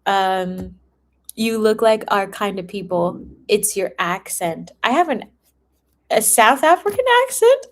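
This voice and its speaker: A woman hosts a tarot livestream in a casual, talkative way, with a mid-pitched voice. She speaks informally and directly to her viewers, like someone chatting with friends. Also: animated, measured pace. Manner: chatty, informal, often breaking into giggles. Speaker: tarot reader hosting a livestream.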